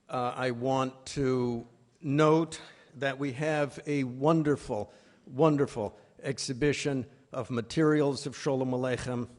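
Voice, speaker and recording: A man speaking as an executive director, introducing a program with a formal welcome at a live event in a halting, hesitant way. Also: medium pitch, a little mellow. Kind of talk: formal welcome speech at a conference. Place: live event before an audience.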